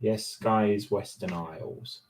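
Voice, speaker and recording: A man's voice: lowish voice, unhurried. A middle-aged Englishman co-hosting a live craft stream, teasing and joking in casual banter. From England.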